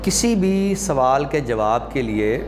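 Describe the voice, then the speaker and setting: A man giving an explanatory class lecture in a deliberate manner, pausing and posing questions that he then answers. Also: medium pitch, sing-song, background noise. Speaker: lecturer teaching Urdu rhetoric.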